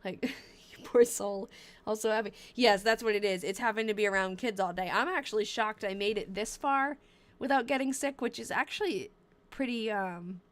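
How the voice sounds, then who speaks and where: A woman's voice: mid-range voice, normal speed. A young woman in her twenties talks loosely on a live stream, her nose stuffed up and her voice wearing thin. She reads terse old diary lines aloud, then drifts into chatty asides and often breaks off mid-sentence. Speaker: livestream host reading old diaries. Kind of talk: casual live-stream chat while reading diary entries aloud.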